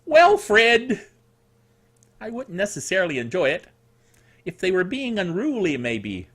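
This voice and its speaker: A man's voice: sits high, wildly swooping pitch, unhurried, fairly bright tone, breathy edge. A man hosting a casual tech news show, reading items aloud with jokey, exclamatory asides and a sore throat. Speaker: host of a tech news podcast. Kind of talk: casual solo tech commentary mixed with read-out news.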